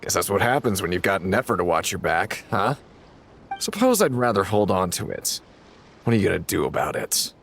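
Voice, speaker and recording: An actor playing a cocky, mocking male gang thug in a video game, with a gravelly voice. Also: deep voice, sing-song, normal speed, a bit bright, slight background noise, full character voice. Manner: mocking, taunting. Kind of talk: video game character acting.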